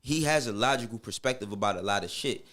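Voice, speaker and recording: A man's voice: on the low side, fast talker, fairly bright tone. A middle-aged man with a New York accent, speaking candidly and casually as an interview guest in slangy, emphatic phrases that often check the listener follows. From Harlem, New York, United States. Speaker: podcast guest.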